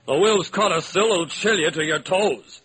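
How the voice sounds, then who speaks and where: An actor playing an exasperated heir who must speak only in emphatic, theatrical rhyme, in an old radio drama. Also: male voice, sits high, moderate pace, natural voice. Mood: urgent. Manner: theatrical, emphatic rhyming delivery. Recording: noisy vintage radio recording.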